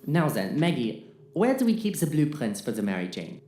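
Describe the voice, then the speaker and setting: A reasoning, wry female crew member, played by a woman with a low, mellow voice. She coaxes deliberately in sci-fi audio drama style, in a roomy recording with faint noise. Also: very animated. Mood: practical. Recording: echoey room with faint noise.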